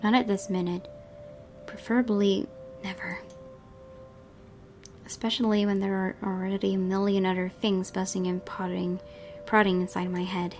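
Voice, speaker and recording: Woman narrating a game, slightly creaky. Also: mid-range voice, little pitch movement, normal speed, long pauses, darkish tone, soft background music. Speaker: gaming video host reading a visual novel. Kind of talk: expressive story narration with casual let's play asides.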